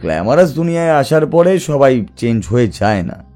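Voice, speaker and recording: A man acting a thoughtful, earnest detective in an audio story, speaking in a measured, reflective way. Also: medium pitch, very animated, dark tone, faint music behind, lightly acted.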